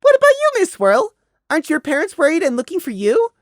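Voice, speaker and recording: A woman's voice: very high voice, wildly swooping pitch, fast talker, no room sound, studio quality, pitched above the performer's own voice, full character voice. A woman voicing a malicious young colt who feigns sweet, wide-eyed innocence in a storybook reading. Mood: feigned wonder. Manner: chirpy, exaggerated wide-eyed enthusiasm.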